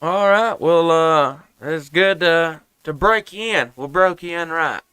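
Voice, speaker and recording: A man's voice: on the high side, a little slow, noisy background. A man making casual amateur radio contacts, talking in a folksy, hesitant way. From Tennessee. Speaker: amateur radio operator, live streamer.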